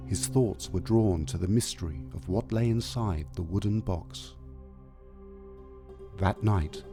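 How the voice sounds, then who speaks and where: Low-voiced male storyteller over music. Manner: measured, dramatic. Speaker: storyteller, narrator. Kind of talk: scripted dramatic storytelling narration.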